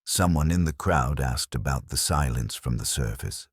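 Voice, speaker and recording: A man narrating a dramatic first-person story in a grave, measured manner, with short deliberate phrases. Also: rumbling low, animated, breathy edge, dry recording, clean studio sound.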